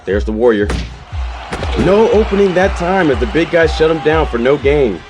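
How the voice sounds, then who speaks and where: An actor playing a male football play-by-play announcer with a brisk, projected broadcast delivery. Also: a little high, lively intonation, music in the background, natural voice. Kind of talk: sports commentary.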